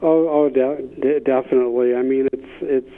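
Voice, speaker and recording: A middle-aged man answering as an interview guest, earnest and halting, often repeating words as he thinks. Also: medium pitch, fairly even intonation. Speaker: interview guest, business thought leader. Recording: thin, phone-like call line.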